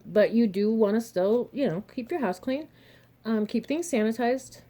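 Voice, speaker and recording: A young adult woman, a little hoarse and throaty, chatting frankly to her viewers with advice, laughing often and cursing mildly. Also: a little high, normal speed, warm tone. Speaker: vlogger, single mother and reseller. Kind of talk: casual advice monologue to camera.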